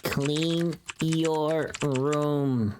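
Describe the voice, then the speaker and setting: Rambling, informal VTuber streamer, medium pitch. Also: steady tone, extremely slow, background noise. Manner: rambling, teasing, informal with mild swearing. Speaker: VTuber livestream host. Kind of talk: casual livestream chat with viewers.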